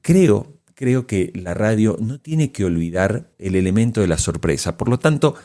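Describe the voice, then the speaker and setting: Low-voiced male podcast host. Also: expressive, moderate pace, dark tone. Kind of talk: scripted podcast commentary.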